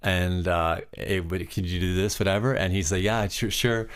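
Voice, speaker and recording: A man from Canada, a DJ guest in a podcast interview, speaking in a monotone, rambling way. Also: sits low, moderate pace, smooth. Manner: rambling, with many fillers and restarts. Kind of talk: casual interview chat.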